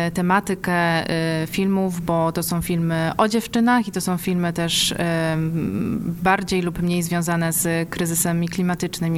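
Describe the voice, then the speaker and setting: Even-toned, lower-voiced woman in interview. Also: background noise. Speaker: film festival programmer, podcast guest. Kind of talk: casual interview chat.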